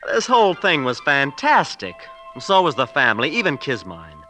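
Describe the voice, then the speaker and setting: A man's voice: medium pitch, huge pitch swings, moderate pace, bright, forceful tone. An actor playing a bewildered young man who narrates his own peril in a 1940s radio drama. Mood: tense, hushed. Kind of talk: 1940s radio drama acting, first-person narration and dialogue. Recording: vintage 1940s radio broadcast, music underneath.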